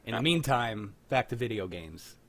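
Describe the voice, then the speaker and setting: A man's voice: medium pitch, lively intonation, moderate pace, a bit bright. A young man co-hosting a livestream, joking and a bit profane as he steers the talk. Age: young adult. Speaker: livestream co-host. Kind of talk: casual chat.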